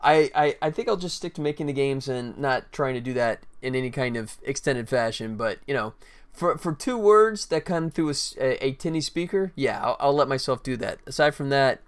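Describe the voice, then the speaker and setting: A man's voice: medium pitch, expressive, moderate pace, clean tone. A man hosting a casual game development livestream, chatty and informal, talking directly to viewers. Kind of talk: casual livestream chat, showing work in progress.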